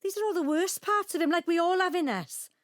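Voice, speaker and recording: A loyal grown daughter and peacemaker in an audio drama. Her voice is high, with an earnest, emotive delivery. She sounds close and dry, with no room around her. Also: fairly quick, no echo. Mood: pleading. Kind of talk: naturalistic audio drama acting.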